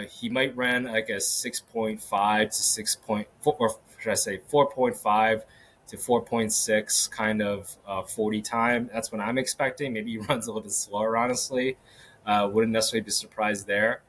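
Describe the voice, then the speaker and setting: A man hosting a solo sports podcast, talking casually with many fillers and restarts, his voice a little hoarse. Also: fairly low pitch, fairly even intonation, moderate pace, fairly bright tone, a little hiss or hum. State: slightly hoarse. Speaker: podcast host. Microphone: podcast microphone.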